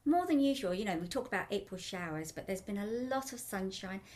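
A woman hosting a radio talk show as a life coach, upbeat and chatty, her voice still croaky. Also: medium pitch.

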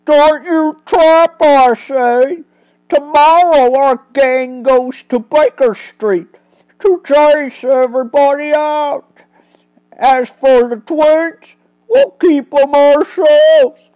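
Brief: taunting storybook pickpocket, voiced by a woman, pitched well up. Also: extremely slow, no echo, rough recording. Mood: boastful. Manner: boastful, loud, taunting. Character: harsh-laughing male pickpocket villain, boastful.

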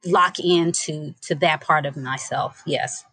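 A woman's voice: low-pitched, slow pace, a bit bright, slightly rough, no echo. A woman guest storyteller in a podcast interview, talking casually and unhurriedly with many fillers.

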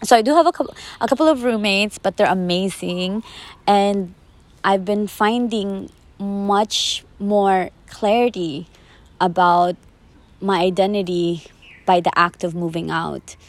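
A woman's voice: medium pitch, measured pace, dry recording. Young woman podcasting reflectively, earnest. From the Philippines, later the United States. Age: twenties. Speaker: podcast host. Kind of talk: reflective personal podcast monologue.

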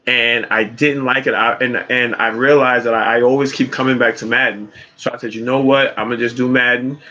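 A man's voice: mid-range voice, steady tone, a little fast, pushed and bright. A man from Brooklyn with a New York accent, co-hosting a podcast in a hyped, slangy way with lots of fillers. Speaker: podcast co-host. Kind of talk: casual podcast chat. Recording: dull lo-fi mic.

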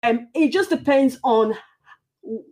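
A woman's voice: sits high, a little slow, warm tone. A woman from Nigeria with a Nigerian accent talking conversationally as an interview guest, often repeating phrases for emphasis. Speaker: podcast guest.

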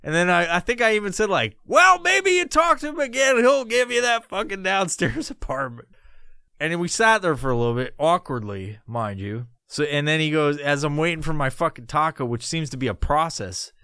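A man's voice: on the high side, expressive, moderate pace, bright, forceful tone, clear, resonant tone, no echo, studio quality. A middle-aged man from New York hosting a podcast, swearing constantly and laughing through rambling stories. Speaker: podcast host. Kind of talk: profane storytelling monologue.

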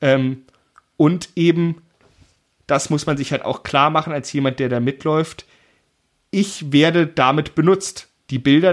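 A man giving a measured, explanatory analysis in a discussion, with frequent hedging asides. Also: mid-range voice.

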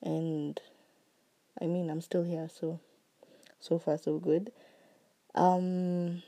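Woman podcast host, slow earnest talk, gravelly fry, very dark mellow tone, recovering voice. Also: lowish voice, level tone. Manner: slow, heartfelt and earnest, with soft trailing phrases. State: recovering voice after illness. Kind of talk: reflective solo podcast monologue.

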